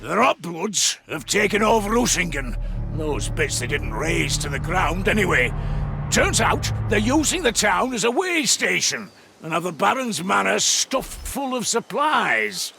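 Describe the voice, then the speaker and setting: A man voicing a gruff, boisterous dwarf engineer in a video game, hearty, colloquial and boastful, with a gravelly voice. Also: high-pitched, very animated, a little slow, music in the background, heavily acted. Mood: wry, determined. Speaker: mission-briefing game character. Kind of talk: scripted video game voice lines.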